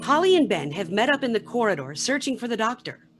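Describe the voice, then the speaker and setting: Higher-pitched woman narrator. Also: very animated, fast, soft background music. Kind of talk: scripted narration for a radio play reading.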